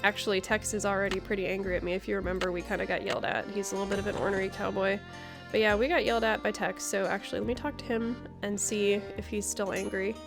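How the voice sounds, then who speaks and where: A woman's voice: mid-range voice, flat delivery, fast, gravelly. A woman giving casual playthrough commentary, chatty and thinking aloud as she hosts. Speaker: gaming video host. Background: game music.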